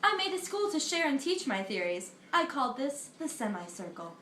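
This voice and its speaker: Teen girl doing old greek philosopher guy, high lively voice, echoey room. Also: slight background noise, full character voice. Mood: earnest. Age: teenager. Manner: earnest, recited, a little halting. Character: ancient Greek philosopher Pythagoras, an older man, earnest. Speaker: student in a school history project. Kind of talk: amateur mock interview, reciting facts.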